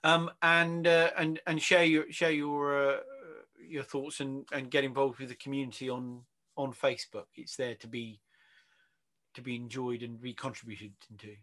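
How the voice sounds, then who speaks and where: A man's voice: medium pitch, level tone, moderate pace, bright, forceful tone. A man hosting a casual interview over a video call, rambling, affirming and teasing as he goes. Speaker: interview host.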